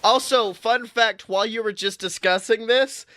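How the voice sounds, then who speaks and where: A young man co-hosting a podcast, chatting casually and playfully with lively, mock-outraged reactions. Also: high voice, normal speed, no echo. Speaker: podcast co-host. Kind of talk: casual chat.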